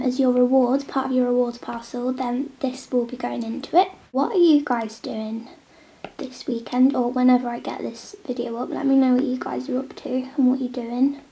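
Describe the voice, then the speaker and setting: A young woman with a head cold talks casually as she draws at a table at home, now and then sinking into a near-whisper. Her voice sits high but stays level and mellow, with a gravelly edge of vocal fry. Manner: chatty, drifting between soft near-whispers and normal talk. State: snotty with a cold. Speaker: vlogger drawing on a tablet. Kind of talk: casual chat while drawing. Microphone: camera on the table.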